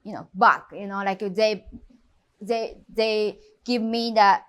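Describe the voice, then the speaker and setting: A woman in her thirties from Japan, speaking English with a Japanese accent as a real estate agent in an interview, pausing often and filling with "you know" and "uh.". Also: mid-range voice, fairly even intonation. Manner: halting non-native English with frequent fillers. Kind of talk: interview conversation.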